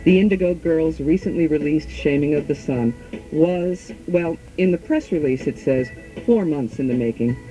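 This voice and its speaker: A woman radio host reading a measured, enthusiastic introduction and asking interview questions. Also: low-pitched, over music, rough recording.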